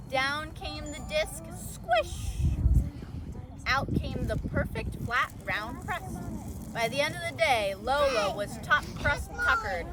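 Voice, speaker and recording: High-voiced woman reading a children's story outdoors. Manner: expressive, acts out sound words and dialogue. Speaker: volunteer storyteller. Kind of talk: children's read-aloud storytelling. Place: outdoors at an orchard event. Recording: noisy outdoor recording with ambient sounds. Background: outdoor ambience and background noise.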